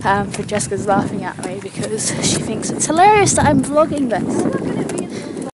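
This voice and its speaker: A woman's voice: fairly high pitch, a little slow. A young woman talking casually to camera as a travel vlogger, explaining what she sees. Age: young adult. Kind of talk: casual vlog narration. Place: mostly outdoors at tourist sites and streets. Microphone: handheld camera or phone at arm's length. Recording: noisy handheld travel vlog audio.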